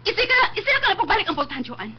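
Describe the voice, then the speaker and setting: An actress playing a stern, bitter elder sister in a radio drama, with a Visayan Filipino accent and an emphatic, accusing manner. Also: female voice, very high voice, sing-song, racing, a little hiss or hum. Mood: stern, upset. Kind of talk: Philippine radio drama acting. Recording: dull lo-fi live stream, faint music.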